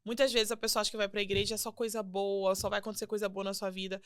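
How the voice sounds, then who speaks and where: A young adult woman with a Brazilian Portuguese accent, a singer guesting on a podcast, telling her faith story in an earnest, animated way and acting out quotes. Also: medium pitch, quick pace, clean tone, no echo, studio quality. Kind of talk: casual testimony chat.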